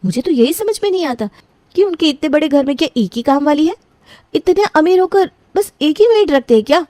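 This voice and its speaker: A woman's voice: high voice, expressive, brisk, warm tone, no echo. A woman playing a scheming, self-satisfied young daughter in a story. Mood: grumbling.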